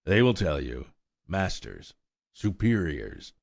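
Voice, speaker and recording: A sorrowful old mare in a storybook tale, as a man reading aloud puts her on. She speaks slowly and wearily, in a resigned, sighing way. The recording is close and dry. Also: deep voice, sing-song, gravelly, no echo, full character voice. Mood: cold. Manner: slow, sighing, world-weary.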